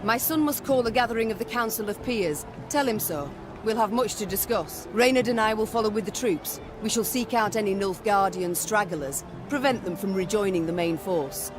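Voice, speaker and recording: An actress playing a proud, resolute warrior queen in a game, speaking in a measured, commanding way. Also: medium pitch, close to an everyday voice. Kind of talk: dramatic scripted game dialogue. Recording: music under the voice.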